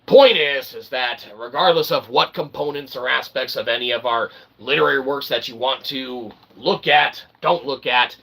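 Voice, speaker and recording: A man's voice: mid-range voice, moderate pace, creaky, few pauses, pushed and bright, breathy edge. A man hosting a livestream, rambling through a monologue and checking in with "okay?" and "all right". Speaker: livestream host.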